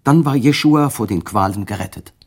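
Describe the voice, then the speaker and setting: A man narrating a literary radio play, measured and expressive, rising with the drama. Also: on the low side, husky.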